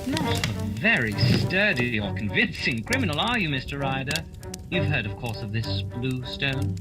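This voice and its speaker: A man playing a shrewd, self-assured gentleman detective with a precise, theatrical manner in a radio play. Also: mid-range voice, sing-song, normal speed, over loud music, lightly acted. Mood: stern. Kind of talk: holiday radio play acting.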